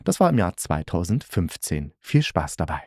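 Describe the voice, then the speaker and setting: A man's voice: sits low, sing-song, moderate pace, dark tone, no echo, clean studio sound. A man hosting a podcast review, opinionated and emphatic, with colloquial, sarcastic phrasing. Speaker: podcast host and audio drama critic.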